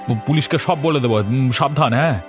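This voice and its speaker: Guy doing greedy cartoon little brother, mid-pitched, taunting and demanding, village troublemaker. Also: fairly quick, over music, close to an everyday voice. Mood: taunting, threatening. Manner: taunting, pushy, threatening.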